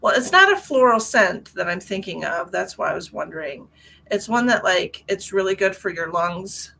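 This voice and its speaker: A woman hosts a casual livestream from her car, her voice bright and forward. She rambles in a chatty way and answers viewers' comments as they come in, sounding a little stuffy. A faint hiss of noise sits under her voice. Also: fairly low pitch, animated, normal speed, vocal fry. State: stuffy nose. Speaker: tarot reader hosting a livestream.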